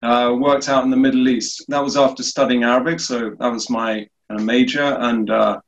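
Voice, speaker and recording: A man from the UK answering interview questions in a relaxed way, with many fillers and restarts. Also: mid-range voice, moderate pace. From United Kingdom. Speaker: guest.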